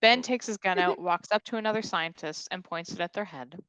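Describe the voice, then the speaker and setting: A woman's voice: fairly low pitch, a little fast, fairly bright tone, no room sound, rough recording. A woman reading scripted stage directions as narrator at a comedic table read.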